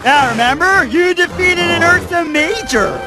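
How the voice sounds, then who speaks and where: A man's voice: very high voice, expressive, measured pace, heavily acted. A man voicing a dim, starstruck young male pony in a cartoon, with a goofy, eager manner. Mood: eager, admiring. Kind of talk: animated cartoon acting. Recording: loud music behind the voice.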